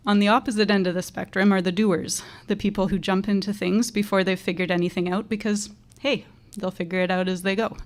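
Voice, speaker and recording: Quiet-voiced woman teacher, earnest tribute. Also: mid-range voice, steady tone, brisk. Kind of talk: graduation tribute speech.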